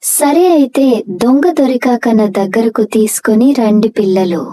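A woman's voice: a little high, normal speed, dark tone, dry recording, clean studio sound, natural voice. A woman playing a concerned, understanding schoolteacher in a children's story, earnest and questioning.

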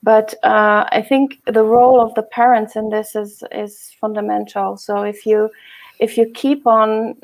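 A woman hosts a video call interview, asking open questions and adding her own experience. Her voice is level, with little rise and fall, and carries a gravelly fry. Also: medium pitch, level tone, unhurried. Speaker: host.

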